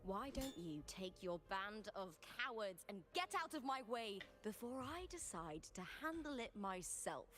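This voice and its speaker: A woman's voice: mid-range voice, very animated, a little slow, few pauses, fairly bright tone. A woman voicing a fiery, proud young female gladiator in a video game, blunt and brash with emphatic delivery. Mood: angry, defiant. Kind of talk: dramatic video game voice acting. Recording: game audio with music underneath.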